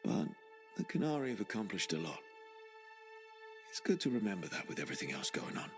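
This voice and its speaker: An actor playing a reflective, earnest young male adventurer in a video game cutscene, musing aloud with quiet determination. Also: sits low, big pitch swings, fairly quick, over music.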